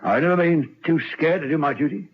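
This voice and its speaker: A man's voice: on the high side, fairly quick, husky. An actor playing a proud, dutiful prison hangman who is losing his nerve, in an old radio drama style with stammering protests. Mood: stiff, dutiful. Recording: dull old radio recording.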